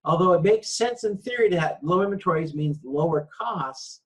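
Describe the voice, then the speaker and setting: Guy doing a casual slide lecture, voice a bit on the high side, explains stuff kinda haltingly. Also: lively intonation, a bit bright.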